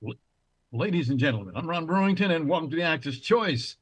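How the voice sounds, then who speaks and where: A man's voice: a little high, animated, quick pace, highs cut off, a little hiss or hum. Courteous male talk show host. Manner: courteous and formal. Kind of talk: formal guest introduction and interview.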